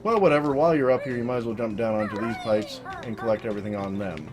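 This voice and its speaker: Very deep-voiced monotone woman over game music. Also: fast talker. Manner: monotone, self-deprecating, casual swearing. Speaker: let's play commentator. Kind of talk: solo gameplay commentary. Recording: game music loud behind voice. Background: video game music.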